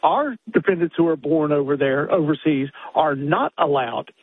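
Muffled, husky American caller in his sixties. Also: a little high, animated, normal speed. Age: 60s. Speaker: caller to a radio show. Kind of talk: unscripted phone-in explanation. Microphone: telephone. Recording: muffled lo-fi phone line into radio show.

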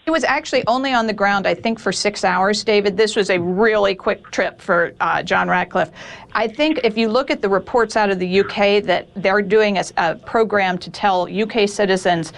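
A woman giving quick, assured analysis in an interview clip as a political commentator. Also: medium pitch, creaky.

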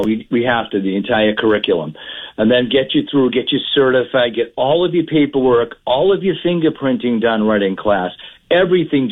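A man with a Boston accent, a firearms instructor calling in to a radio show, rambling in an energetic salesy way. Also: medium pitch. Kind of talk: phone-in radio chat. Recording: tinny phone line.

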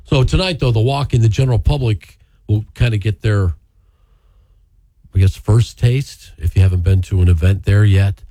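A man hosting a casual radio interview, chatty with halting restarts, who is losing his voice. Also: on the low side, warm tone, no room sound. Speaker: radio host.

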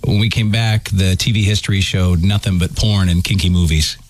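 A man's voice: sits low, steady tone, normal speed. A man hosting a comedic radio show, joking with his co-hosts and reading listener stories aloud.

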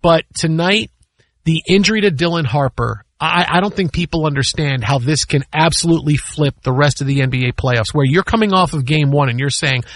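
A man's voice: a little high, fairly quick, no echo. A man hosting sports talk radio, giving casual, opinionated commentary in an emphatic way with repetition and rhetorical questions. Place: radio studio.

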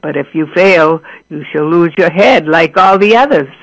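A woman voicing a stern, threatening old king in a storybook reading, slow and commanding. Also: low-pitched, poor audio.

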